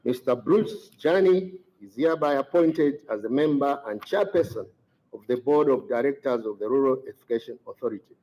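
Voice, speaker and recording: A man's voice: medium pitch, fairly quick. A man with a Zambian accent, a government minister, making a formal official announcement. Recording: dull, slightly lo-fi event audio.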